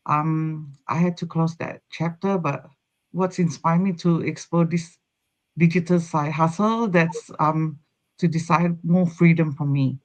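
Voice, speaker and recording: A middle-aged woman speaks slowly as a guest, pausing often on ums as she gathers her words. Her audio is dull and lo-fi, with the top end rolled off. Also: sits low, a little mellow. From Indonesia. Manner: halting, many fillers.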